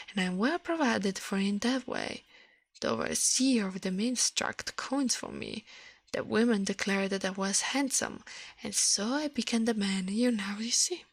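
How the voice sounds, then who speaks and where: A woman's voice: mid-range voice, no room sound. A woman voicing a man's living shadow in an ASMR storybook reading, pompous, smug and condescending. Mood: boastful. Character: man's shadow come alive, smug, condescending, self-important master.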